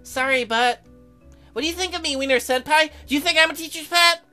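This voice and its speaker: A woman's voice: fairly quick, sharp tone, soft background music. A woman voicing a sweet, eager, easily flustered schoolgirl class president in a visual novel, with a girlish voice. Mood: hurt. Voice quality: high, girlish.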